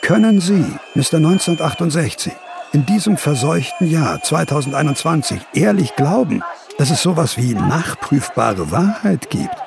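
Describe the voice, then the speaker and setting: A man's voice: fairly high pitch, very animated, moderate pace, creaky, music in the background. An actor playing a blunt, sardonic male adviser in a radio drama, needling and matter-of-fact. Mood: scornful. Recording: clicking noise behind the voice.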